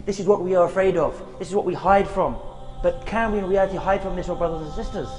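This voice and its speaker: A man with a high voice delivers an earnest, unhurried sermon outdoors in a graveyard. His words trail into a long reverb, and faint music plays underneath. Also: a little mellow. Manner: earnest, slow, with Arabic recitation chanted. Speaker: preacher giving a short reminder.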